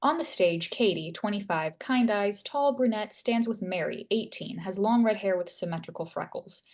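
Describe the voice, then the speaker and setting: A woman's voice: mid-range voice, normal speed. A woman reading stage directions as scripted narration.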